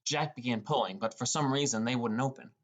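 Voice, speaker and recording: A man's voice: medium pitch, fairly quick. A man reading a scripted first-person story as an audiobook narrator.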